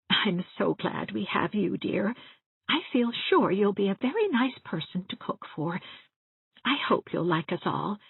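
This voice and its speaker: A woman voicing a gentle, timid, chatty widow in her sixties, rambling and confiding in a genteel way, for an audiobook. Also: mid-range voice, slightly rough, phone-quality sound, full character voice. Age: 60s. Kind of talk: audiobook character acting. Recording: roomy, thin band-limited sound.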